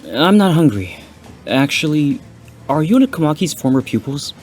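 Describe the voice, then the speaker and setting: A man's voice: fairly high pitch, very animated, moderate pace, a little mellow, faint music behind. A man playing an earnest, polite and direct young martial arts student in an amateur anime-style audio drama.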